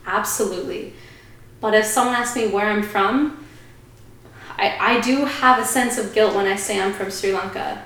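A woman's voice: mid-range voice, normal speed, fairly bright tone. A woman speaking as a podcast guest, giving earnest, reflective opinions in conversation. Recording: very echoey room.

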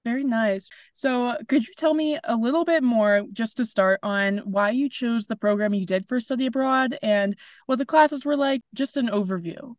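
A woman's voice: on the high side, normal speed, hardly any pauses, no room sound, clean studio sound. A young woman hosting an interview, asking questions and giving encouraging replies like "wow" and "very nice.". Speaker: podcast host.

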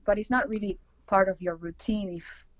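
A woman's voice: mid-range voice, fairly even intonation, normal speed, no echo, dull audio. A young woman from Argentina, a tango dancer and teacher, answering interview questions casually, with lots of fillers. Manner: chatty, many fillers and like, you know.

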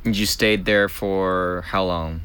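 A male interviewer with a very deep voice that comes across clean and resonant. He is friendly and chatty, filling gaps with short affirmations. His questions wander loosely before landing. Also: steady tone, takes it slowly, a bit bright, smooth. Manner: friendly, frequent backchannels, loose rambling questions. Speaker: interviewer, podcast host. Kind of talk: casual interview conversation.

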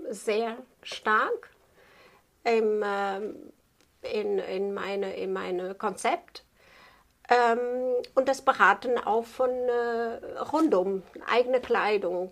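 Halting, creaky Portuguese-accented woman, slow talker. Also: a little high. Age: 50s. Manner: halting, searching for words, non-native grammar. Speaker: tailor being interviewed. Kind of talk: spontaneous interview answers explaining a craft.

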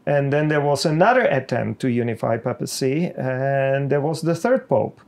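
A middle-aged man from the Czech Republic with a Czech accent, a pastor speaking slowly and haltingly with many pauses in a podcast conversation. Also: mid-range voice, expressive, gravelly, a little mellow.

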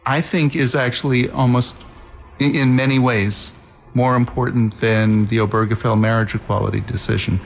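A man's voice: mid-range voice, level tone, moderate pace, gravelly, soft background music. A man hosting a talk show, recounting news in a chatty way with asides. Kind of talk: casual talk show conversation.

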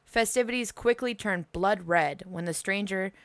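A woman's voice: mid-range voice, moderate pace, dry recording. A woman co-hosting a casual movie podcast, laughing often and swearing freely. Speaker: podcast co-host. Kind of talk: casual chat and movie review.

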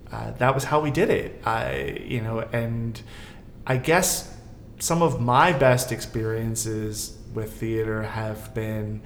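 Middle aged guy rambling casually on a panel, bit echoey, faint noise behind. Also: fairly low pitch. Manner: discursive, thinking aloud. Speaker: guest co-host on a theater podcast. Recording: roomy echo, faint background noise.

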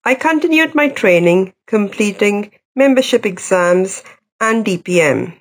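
Wanita beraksen India-Wales, suara serak kasar. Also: female voice, medium pitch, animated, unhurried. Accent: Welsh Indian. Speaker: psychiatrist. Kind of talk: reading a personal story.

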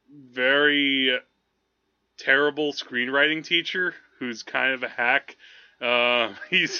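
A man co-hosting a movie podcast, talking casually in a halting, digressive, amused way. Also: on the high side, sharp tone, dry recording. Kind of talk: casual film review chat. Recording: slightly dull, lo-fi podcast audio.

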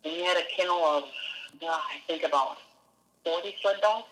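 A man's voice: on the high side, moderate pace, fairly bright tone, low-quality recording. A man from Denmark, a dog musher, answering interview questions in a hesitant way with many fillers and restarts. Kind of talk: casual interview.

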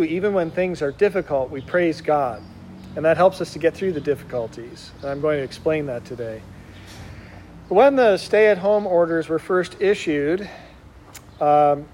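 A middle-aged man from Wisconsin, a Benedictine monk, gives an informal conference to a lay community. His speech is unpolished and friendly, scattered with fillers, and his voice sits slightly high for a man. A faint background noise runs under the recording. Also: a little mellow. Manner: conversational, with frequent ums and uhs. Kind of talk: informal spiritual talk.

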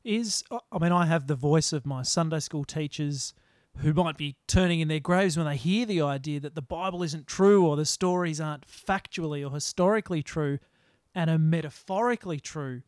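A man's voice: on the high side, moderate pace, dry recording, studio quality. A man hosting an interview, asking thoughtful, searching questions. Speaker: podcast host.

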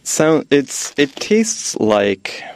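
A man's voice: fairly high pitch, very animated, extremely slow, background noise. A man in his twenties from the United States, a guest in a casual chat, explaining things in a friendly way and laughing often. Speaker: guest, graduate student.

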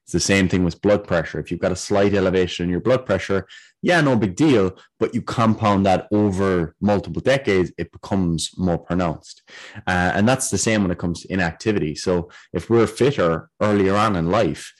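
A young man co-hosting a podcast, a trainee doctor explaining health topics in a measured, casual way. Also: low-pitched, low-quality recording. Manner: explanatory, measured, occasional fillers.

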